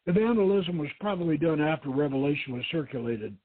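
A man's voice: fairly high pitch, lively intonation, brisk, breathy edge. A man voicing a practical, curious male adventurer in a storybook, matter-of-fact, over a thin phone-like line. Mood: practical, questioning. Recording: thin phone-like radio line, roomy echo.

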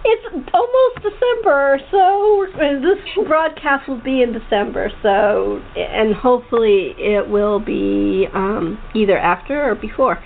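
A woman hosting a casual interview, speaking haltingly, often breaking off and repeating words. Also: on the high side, gravelly. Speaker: host of a talk show. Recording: muffled tinny phone line with faint noise.

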